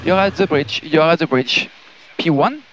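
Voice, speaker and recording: A man's voice: fairly high pitch, normal speed, a bit bright, slight background noise, poor audio. A man working as a race engineer, giving clipped, matter-of-fact instructions over team radio. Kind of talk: terse radio instructions to a racing driver.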